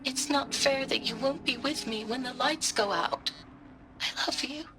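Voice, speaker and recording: An anguished woman in a video game audio log. Her fairly high voice is halting and pleading as she speaks to someone she misses, with music clearly behind her. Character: anguished woman recording a message to absent loved one.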